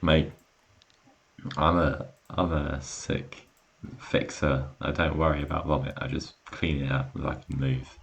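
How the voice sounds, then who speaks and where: A man's voice: rumbling low, level tone, unhurried, creaky. A young man bantering on a podcast in a blunt, offhand way, often trailing off. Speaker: podcast co-host. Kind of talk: casual banter.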